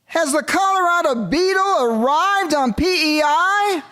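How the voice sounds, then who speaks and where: A man acts as a worried potato farmer in an amateur historical drama. His pitch is strikingly high for a man. He sounds concerned and full of questions. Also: animated, measured pace, sharp tone, exaggerated voice. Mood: worried, questioning.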